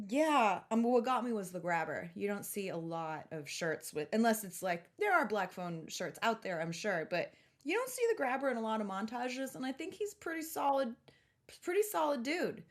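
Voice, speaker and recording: A woman with a fairly high voice talks as a podcast co-host. Her chat is casual and animated, full of joking asides and easy swearing. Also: moderate pace. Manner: animated, profane, jokey.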